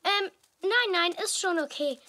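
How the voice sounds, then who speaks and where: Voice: very high-pitched, unhurried, pushed and bright, exaggerated voice. A performer voicing a young cartoon zebra boy, sweet, eager and earnest, in a dubbed children's show. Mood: wistful, hesitant.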